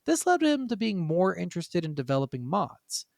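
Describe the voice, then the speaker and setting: A man's voice: sits high, big pitch swings, moderate pace, creaky, no room sound, studio quality. A man co-hosting a casual gaming podcast, talking informally and swearing freely. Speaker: podcast co-host.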